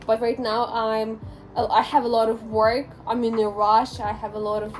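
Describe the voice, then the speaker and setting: An eighteen-year-old Ukrainian woman with a Ukrainian accent, answering interview questions in a talkative, animated way, often restarting her sentences. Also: a little high, moderate pace, faint music behind. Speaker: interviewee, English teacher.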